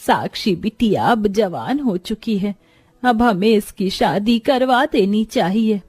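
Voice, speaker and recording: A woman voicing a caring, worried mother of a poor village family in a story, gentle and concerned. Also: mid-range voice, lively intonation, slow pace, slightly rough, no room sound, faint music behind.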